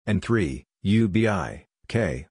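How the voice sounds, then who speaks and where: A man reading out short scripted practice phrases with measured, deliberate enunciation, as a drill narrator. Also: deep voice, expressive, a little mellow, husky, no echo, clean studio sound. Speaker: Morse code practice narrator.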